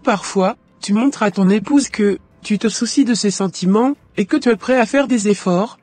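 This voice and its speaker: A woman playing a wise, conciliatory mother-in-law in a scripted cartoon drama, giving advice in a measured way. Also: medium pitch, darkish tone, slightly rough, no room sound, faint music behind, natural voice. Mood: calm, advising. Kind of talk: scripted animated drama dialogue.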